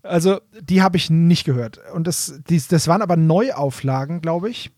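A man's voice: fairly high pitch, normal speed, a little mellow, dry recording, clean studio sound. A man co-hosting a podcast in informal, casual chat, rephrasing his thoughts as he goes. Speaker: podcast co-host. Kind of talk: casual chat about nostalgic audio dramas.

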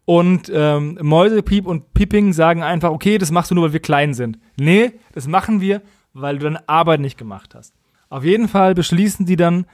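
A man's voice: on the high side, normal speed, darkish tone, dry recording, clean studio sound. A man recapping stories as a podcast co-host in a colloquial, humorous, mockingly opinionated way. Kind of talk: casual humorous episode recap.

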